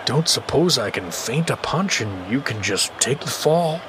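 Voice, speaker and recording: A man's voice: mid-range voice, lively intonation, measured pace, background noise, lightly acted. An actor in an audio drama playing a bewildered, reluctant father, hesitant and incredulous in his delivery. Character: bewildered, reluctant everyman dad in an arena.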